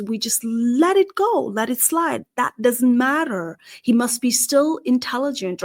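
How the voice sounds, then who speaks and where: A middle-aged woman from Nepal with a Nepali accent, talking earnestly to camera as a career show host and posing questions to her listeners. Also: fairly high pitch. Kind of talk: solo talk to camera.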